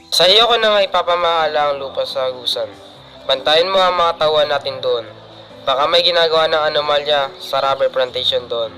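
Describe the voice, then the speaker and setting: A man's voice: on the high side, brisk, over music, close to an everyday voice. A man playing an indulgent, protective middle-aged father in an amateur radio drama, with a Filipino accent. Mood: instructing. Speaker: student radio drama actor.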